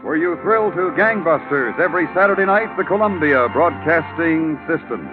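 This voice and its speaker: A man's voice: on the high side, expressive, normal speed. A man with an American accent reading promos in a punchy, formal mid-century network radio style. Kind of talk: 1950s radio promo announcing. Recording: tinny old radio, music behind.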